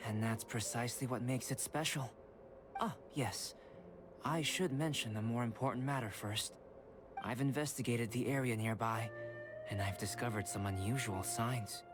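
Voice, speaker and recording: Guy doing a calm nerdy alchemist, precise and formal, even-keeled voice, music under it. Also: medium pitch, moderate pace, close to an everyday voice. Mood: composed. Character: calm young male alchemist, measured and scholarly. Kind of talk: game cutscene voice acting. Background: game music.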